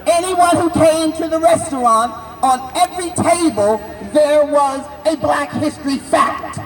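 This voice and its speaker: A woman in her sixties giving an impassioned rally speech outdoors, declaiming in drawn-out phrases and shouting key words for emphasis. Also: sits high, music in the background. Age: 60s. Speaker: rally speaker. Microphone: unamplified, distant camera. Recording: distant, echoey outdoor recording with crowd. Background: crowd noise.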